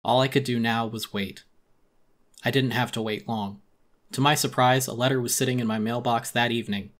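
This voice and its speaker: A man's voice: medium pitch, steady tone, normal speed, fairly bright tone. Theatrical man narrating a story. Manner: theatrical, brooding. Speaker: audiobook narrator. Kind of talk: dramatic scripted first-person narration.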